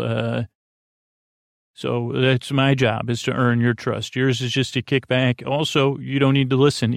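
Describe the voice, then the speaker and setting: A man's voice: mid-range voice, creaky, no echo, clean studio sound. A man hosting a sleep podcast in a rambling, hesitant monologue, self-deprecating and reassuring, often losing his thread. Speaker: podcast host.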